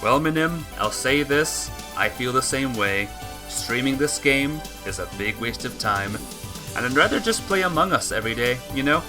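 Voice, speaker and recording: A woman's voice: extremely low pitch, animated, moderate pace. A woman game streamer reading a visual novel aloud and chatting casually with viewers. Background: music.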